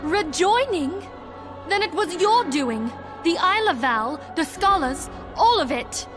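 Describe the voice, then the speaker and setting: A woman's voice: very high voice, big pitch swings, measured pace, over music, full character voice. An actress playing an earnest, defiant young woman, a leader in a fantasy video game. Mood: shocked, accusing. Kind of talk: video game character acting.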